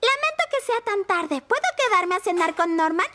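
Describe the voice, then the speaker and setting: Young girl, Latin American Spanish, very high, lively, outspoken, cartoon dub, faint music. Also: moderate pace, a little hiss or hum, full character voice. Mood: pleading. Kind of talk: animated children's series dub.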